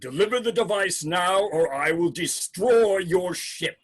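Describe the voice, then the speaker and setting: A man playing a cold, imperious alien warlord with a husky, sardonic delivery in a script read. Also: high voice, animated, full character voice. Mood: cold menace. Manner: deliberate, sardonic villain delivery. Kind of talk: screenplay table read acting.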